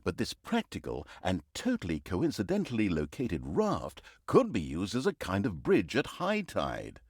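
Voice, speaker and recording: Theatrical, wildly expressive fantasy narrator. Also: male voice, low voice, moderate pace, breathy edge, no echo, clean studio sound, full character voice. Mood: dry, mocking. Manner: theatrical, sardonic, mock-grandiose. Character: sardonic, theatrical male narrator of a villainous fantasy tale. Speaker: game narrator. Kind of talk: scripted comic storybook narration.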